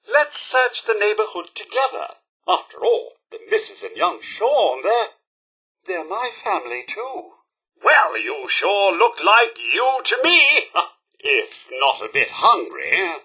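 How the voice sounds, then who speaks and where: A man's voice: sits high, gravelly, bright, forceful tone, slightly rough, heavily acted, poor audio. A man voicing a prim, loyal robot butler in a video game, with a British accent and a formal, deferential, theatrical manner. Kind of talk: scripted video game companion dialogue.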